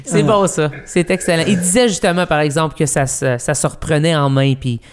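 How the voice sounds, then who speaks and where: A man co-hosting a podcast, agreeably chiming in with quick remarks. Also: a little high, clear, resonant tone. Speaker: podcast co-host. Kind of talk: casual podcast chat.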